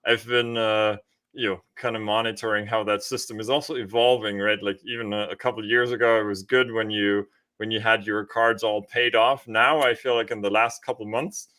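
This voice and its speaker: Bright-voiced man chatting. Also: on the low side, fairly even intonation, fairly quick. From Austria. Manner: informal, often checks with "right?". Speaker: podcast host. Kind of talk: casual conversational explanation.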